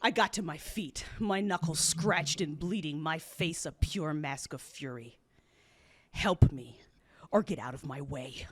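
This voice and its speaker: A woman's voice: low voice, measured pace, breathy edge, no echo. A woman playing an anguished, rage-consumed Jedi warrior, narrating an audio drama in the first person with intense emotion. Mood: furious. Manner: intense, emotive, shifting from hushed to roaring.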